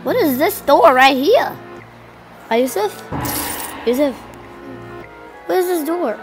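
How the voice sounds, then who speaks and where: Young teen girl, high voice, mild cold, rambling gameplay chatter over game music. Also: animated, slow pace. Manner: chatty, rambling, addresses viewers directly. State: slightly sick with a cold. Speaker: gaming channel co-host. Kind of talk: casual gameplay commentary.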